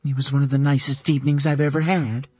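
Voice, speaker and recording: A man's voice: mid-range voice, level tone, fast talker, a little mellow, slightly rough, no room sound, voice pushed up, natural voice. A man voicing an uneasy, guarded woman who answers tersely and defensively. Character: anxious, guarded adult woman, flustered by romance.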